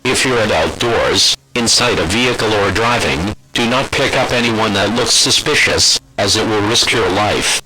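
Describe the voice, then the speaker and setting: Low-voiced man reading an official alert. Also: normal speed, hardly any pauses, background noise. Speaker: emergency alert announcer. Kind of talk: formal scripted emergency alert.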